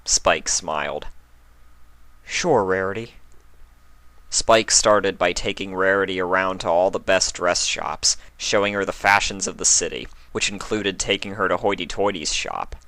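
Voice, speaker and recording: A man's voice: low-pitched, moderate pace, few pauses, sharp tone, dry recording. A man reading a scripted fan-fiction story aloud as a dramatic narrator.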